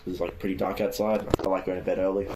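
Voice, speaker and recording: A man's voice: low voice, flat delivery, fast, noisy background. A teenage boy talking casually to his viewers as he narrates his progress on an online business. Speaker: vlogger starting an online business. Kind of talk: casual vlog talk, narrating own progress.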